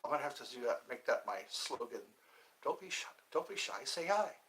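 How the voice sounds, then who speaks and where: A man's voice: medium pitch, animated, a little fast. A middle-aged man keeping his voice down as he chats to viewers on a phone livestream from an indoor room, meandering through stories with lots of fillers. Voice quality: hushed. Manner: talking quietly, keeping voice down. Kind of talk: rambling casual livestream chat. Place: indoor room with thin walls.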